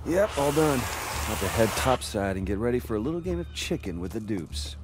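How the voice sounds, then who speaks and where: A man's voice: fairly low pitch, big pitch swings, a little fast, noisy background. A man voicing a cocky, wisecracking young superpowered rebel in a video game, with an American accent and casual delivery. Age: young adult.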